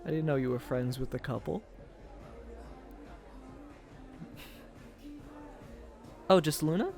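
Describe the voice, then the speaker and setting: Man acting a nervous, smitten young guy, higher-pitched. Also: vocal fry, a little mellow, soft background music. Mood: awkward, pleasantly surprised.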